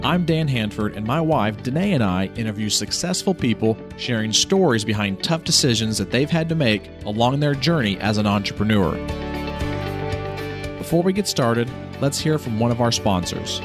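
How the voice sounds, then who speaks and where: A man hosting a podcast, reading a scripted intro and sponsor message in a smooth announcer-like way, then asking the guest questions. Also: mid-range voice, lively intonation, moderate pace, over music. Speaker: podcast host.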